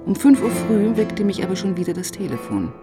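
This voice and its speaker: An actress playing a police inspector who narrates her case in a sober, measured way for a radio crime drama. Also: medium pitch, very animated, loud music behind the voice, natural voice. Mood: sober, tense.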